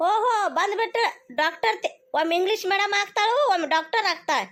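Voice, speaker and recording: A woman's voice: very high-pitched, brisk, fairly bright tone. A woman voicing a bossy, stubborn, greedy mother-in-law in a cartoon comedy, scolding insistently with a North Karnataka accent. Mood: scolding, impatient.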